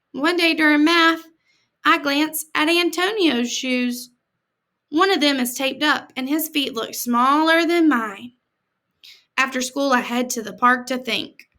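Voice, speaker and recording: A woman's voice: sits high, pushed and bright. An American woman reading a picture book aloud expressively, with dramatic emphasis and chatty, informal asides to her listeners. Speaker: read-aloud storyteller, teacher-like host.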